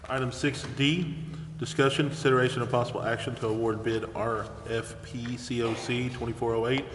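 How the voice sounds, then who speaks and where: A man of medium pitch chairs a meeting with formal procedural wording. His voice carries through a very echoey room with faint noise. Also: steady tone, fairly quick. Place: indoor council chamber. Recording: echoey council chamber, faint background noise.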